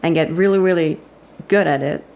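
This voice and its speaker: Austrian-accented woman guest in her thirties, low gravelly voice, candid and quick to laugh. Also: a little mellow. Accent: Austrian German. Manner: candid, laughs often, quick self-deprecating asides. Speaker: guest, commercial director. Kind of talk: casual interview chat.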